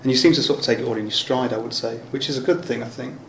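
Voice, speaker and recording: A man's voice: medium pitch, racing, vocal fry, a bit bright. A man with an English accent asks informal, rambling questions in a casual interview chat. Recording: echoey room, some background noise.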